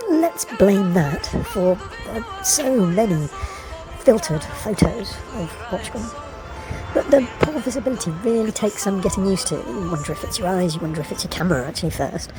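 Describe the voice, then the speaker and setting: A woman's voice: medium pitch, expressive, normal speed, low-quality recording. A woman narrating a travel vlog in a wry, opinionated, meandering way, full of asides. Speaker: travel vlogger. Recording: voice-over with loud music behind.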